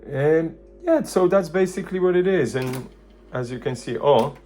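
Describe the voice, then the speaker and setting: A man presenting a casual hands-on product demonstration, thinking aloud in loose, halting phrases. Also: a little high, lively intonation, warm tone, soft background music. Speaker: vintage hi-fi video presenter.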